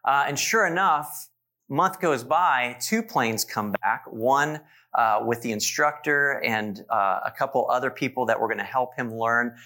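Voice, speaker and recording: A man's voice: mid-range voice, measured pace. A man in his forties preaching in church, telling stories with asides to the congregation. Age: 40s. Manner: warm storytelling with asides to the congregation. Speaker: pastor. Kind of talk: sermon.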